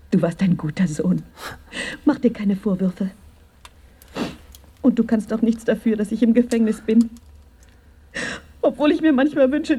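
Jailed wife in radio drama, manipulative through tenderness. Breathy, dark-toned, softly pleading. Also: female voice, mid-range voice. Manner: soft, breathy, half-whispered pleading. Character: scheming jailed wife playing fragile, tender expectant mother. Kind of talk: intimate German radio drama acting.

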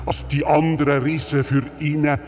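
An actor playing a friendly, mischievous giant in a children's radio play, with a deliberate, comforting manner. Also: male voice, fairly high pitch, flat delivery, darkish tone, a little hiss or hum, natural voice. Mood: sly, scornful. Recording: long reverb, music behind the voice.

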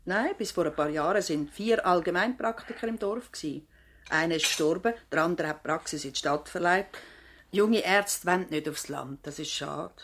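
An actress playing a long-serving practice assistant and housekeeper in a radio drama, conversational, polite and plain-spoken, with a Swiss German accent. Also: female voice, fairly low pitch, normal speed, fairly bright tone.

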